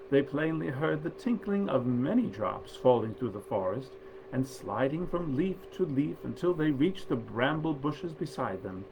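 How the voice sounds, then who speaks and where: A man reading nineteenth-century fairy tales aloud in a measured, expressive storyteller's manner. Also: medium pitch, creaky, dull audio, background noise. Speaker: actor reading stories aloud.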